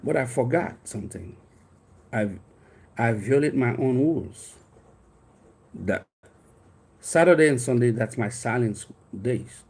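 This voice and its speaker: Husky-voiced Haitian man, rambling storyteller. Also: mid-range voice, expressive, measured pace, long pauses, darkish tone. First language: Haitian Creole. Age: 50s. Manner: rambling, earnest, frequent restarts and fillers. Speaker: life coach. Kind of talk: personal storytelling monologue.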